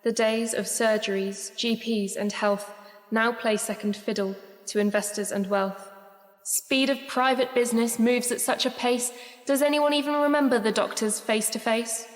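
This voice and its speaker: An actress as an earnest woman poet performing political verse in a radio play. Her voice is fairly high, deliberate and rhetorical. It rings out with long hall reverb. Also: fairly even intonation, lightly acted. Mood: grave, accusing. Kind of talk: rhymed spoken-word poetry recital. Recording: echoey hall reverb with music.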